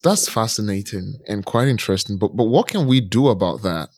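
A man's voice: lowish voice, expressive, fairly quick, darkish tone, no echo, studio quality. A man with a Nigerian accent chatting casually as a podcast co-host. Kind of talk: casual conversation.

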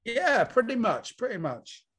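A man's voice: extremely high pitch, big pitch swings, a little slow, a bit bright, breathy edge. A man, a comedian being interviewed, talking in a reflective, rambling storytelling way. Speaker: comedian guest. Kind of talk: casual interview chat.

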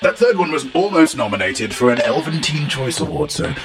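Man putting on a pompous, bumbling professor, animated delivery. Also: fairly high pitch, a little fast, very noisy, pitched above the performer's own voice. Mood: self-important. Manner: pompous, flowery, rambling with blunt asides. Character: pompous, bumbling, long-titled male professor of adventure lore. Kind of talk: comic in-character monologue as a recorded message.

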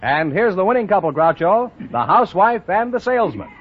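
A man's voice: high voice, very animated, brisk. An American man announcing a mid-century radio show in a scripted, old-time broadcast style. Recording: dull old radio recording with music.

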